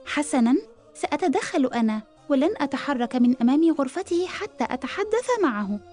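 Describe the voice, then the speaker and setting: A clever, caring older sister in a children's cartoon. Her voice is high and mellow, gentle yet determined and persuasive, over faint music. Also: lively intonation, brisk. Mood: concerned, determined. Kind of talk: cartoon dialogue in formal Arabic.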